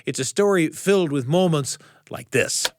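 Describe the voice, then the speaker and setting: A man hosts a radio story hour with a scripted introduction. His voice sits a bit high for a man and moves around expressively in pitch. The sound is close and dry. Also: animated, normal speed, no echo. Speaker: radio show host.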